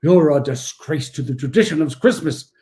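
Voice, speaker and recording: An actor playing a dignified, easily indignant old gentleman with a formal, scolding manner in a radio play. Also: on the high side, animated, normal speed, natural voice. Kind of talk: 1940s-style radio play acting.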